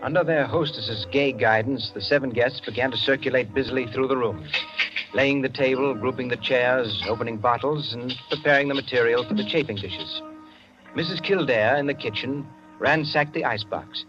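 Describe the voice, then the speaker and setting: A man narrating a scripted 1940s radio drama in a measured, literary, dramatic manner. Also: medium pitch, close to an everyday voice. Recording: muffled 1940s radio recording with music.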